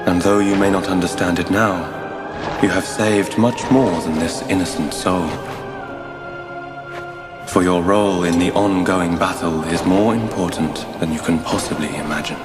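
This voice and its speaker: A man voices a grave, knowing heavenly guide in a game. The voice is low and measured, reverberant, with music behind it. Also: fairly even intonation, natural voice. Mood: solemn, reassuring. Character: solemn, reassuring otherworldly male guide.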